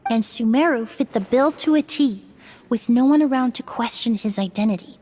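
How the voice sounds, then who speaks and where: An actress playing a composed, analytical young woman investigator in a fantasy video game, speaking in a formal, measured, articulate way. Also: a little high, darkish tone, slight background noise, natural voice. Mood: grave, explanatory. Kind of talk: scripted video game voice acting.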